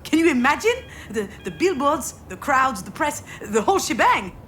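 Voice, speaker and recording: A woman's voice: sits high, big pitch swings, moderate pace, a bit bright, slight background noise. An actress playing an overwhelmed, sardonic woman in her thirties in a narrative game, hesitant and naturalistic. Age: 30s. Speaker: protagonist of a visual novel. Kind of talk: naturalistic dramatic game voice acting, dialogue and inner monologue.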